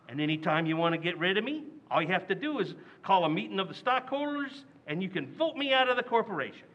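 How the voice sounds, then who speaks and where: Man doing a boastful working-class dad, high pitch, lively, muffled old-radio recording. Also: full character voice. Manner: blustering, mangles big words. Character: blustery working-class father, stubborn and boastful. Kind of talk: old-time radio play recreation.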